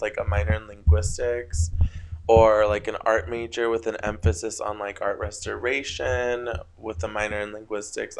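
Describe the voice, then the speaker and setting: A man's voice: lowish voice, a little hiss or hum, low-quality recording. A young man whose first language is Spanish hosts a casual solo podcast late at night, rambling about spiritual ideas and often tripping over his words. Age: young adult. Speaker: podcast host.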